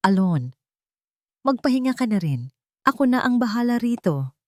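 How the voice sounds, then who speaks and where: A woman's voice: on the high side, sing-song, normal speed, no room sound, studio quality, pitched above the performer's own voice. A woman voicing a cold, domineering, villainous lady of a wealthy house in an audio drama, icy and commanding. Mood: soothing. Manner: icy, commanding, hissing menace.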